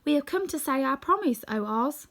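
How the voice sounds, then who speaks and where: Storybook young girl played by a woman, high and bold, indignant, always asking. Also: expressive, moderate pace, fairly bright tone. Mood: earnest. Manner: high-pitched, questioning, insistent.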